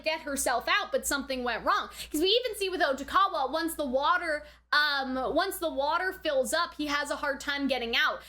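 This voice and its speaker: A woman's voice: high-pitched, sharp tone. A woman hosting a reaction video, giving casual, speculative commentary in a chatty, informal way that often checks in with tag questions.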